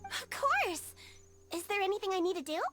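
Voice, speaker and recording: A woman's voice: very high voice, animated, moderate pace, pushed and bright, soft background music, exaggerated voice. A woman voicing a sweet, childlike magical creature in a video game, innocent, earnest and a little hesitant. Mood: cheerful, eager.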